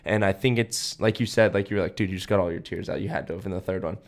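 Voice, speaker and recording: Low-voiced man in his twenties, rambling. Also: steady tone, fast talker. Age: 20s. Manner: rambling, filler-heavy, repeats himself. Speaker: podcast co-host. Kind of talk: casual chat about films.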